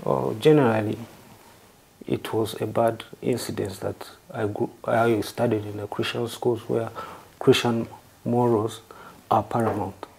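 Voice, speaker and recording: A man from southern Uganda with a Ugandan accent, answering interview questions in halting, formally worded phrases. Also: lowish voice, flat delivery, gravelly, a little mellow, breathy edge, rough recording. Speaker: interview guest.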